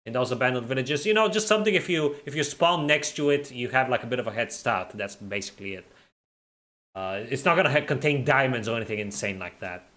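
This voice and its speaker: A man's voice: mid-range voice, quick pace. Man with throaty R sounds. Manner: relaxed, reads and answers chat while playing. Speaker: streamer playing and chatting. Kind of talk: casual livestream chat.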